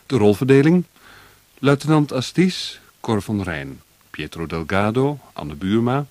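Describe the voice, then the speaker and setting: A man reading scripted credits as an announcer, formal and clearly phrased. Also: deep voice, a little mellow, no room sound.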